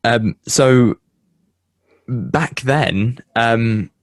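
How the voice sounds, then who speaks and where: A young man from the English Midlands with a Midlands accent, hosting a casual podcast chat, halting and full of fillers and restarts. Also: medium pitch, dry recording, studio quality. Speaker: podcast host. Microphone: headphones and home mic.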